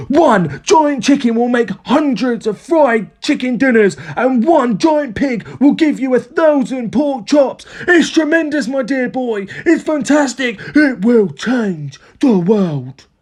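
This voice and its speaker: A narrator voicing an excitable, domineering storybook father, insistent and exclamatory. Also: mid-range voice, measured pace, few pauses, husky, pitched above the performer's own voice. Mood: exhilarated. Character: excitable, domineering father with grand money-making schemes.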